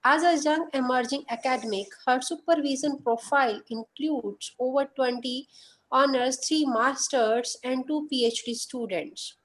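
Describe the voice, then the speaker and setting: A woman's voice: high-pitched, moderate pace, slight background noise, low-quality recording. A woman hosting an online workshop over a video call, reading a formal introduction of the speaker steadily, with small slips. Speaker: workshop host.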